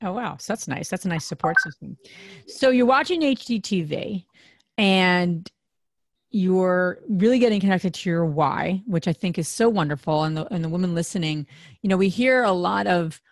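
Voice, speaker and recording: A woman's voice: on the low side, expressive, moderate pace, no echo, clean studio sound. A woman co-hosting a podcast interview, reflecting on the guest's story and addressing listeners. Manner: reflective, affirming, speaks to the audience. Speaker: podcast co-host. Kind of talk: casual interview.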